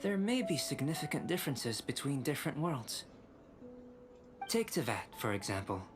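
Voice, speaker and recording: A man playing a calm, intellectual young alchemist in a video game, speaking in a formal, measured, detached way. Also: mid-range voice, music in the background, close to an everyday voice. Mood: courteous.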